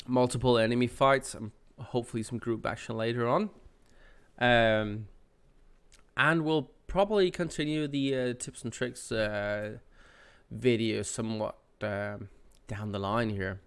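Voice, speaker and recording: A man's voice: medium pitch, steady tone, measured pace, a bit bright, clean tone. Nasal man with a head cold. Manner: rambling, with many fillers and restarts. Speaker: gaming channel host. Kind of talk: casual channel update.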